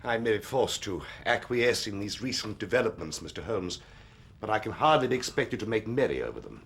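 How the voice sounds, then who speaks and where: Haughty, husky upper-class English lord. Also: male voice, fairly low pitch, big pitch swings, a little fast, fairly bright tone, exaggerated voice. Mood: cold, offended. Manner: pompous, stiffly formal. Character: stiff, haughty, wounded English aristocrat. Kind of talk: period radio drama acting.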